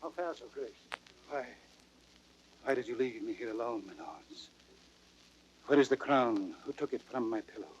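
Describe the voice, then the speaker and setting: A man's voice: mid-range voice, lively intonation, normal speed, long pauses, breathy edge, highs cut off. An actor playing a dying old king, bitter and grieving over his son, in declamatory classical Shakespearean radio drama style. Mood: weak, anxious. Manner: declamatory, with big swells and drops.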